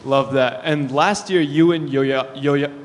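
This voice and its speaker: A man's voice: on the high side, steady tone, unhurried, a little hiss or hum. Male reporter, polite prepared questions, mid voice, reverberant room. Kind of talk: press interview questions.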